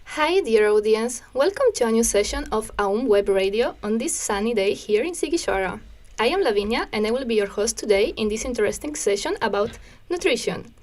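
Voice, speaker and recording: A young woman hosting a volunteer radio show, welcoming listeners in a friendly, slightly halting way. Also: fairly high pitch, lively intonation, a little mellow. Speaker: radio host.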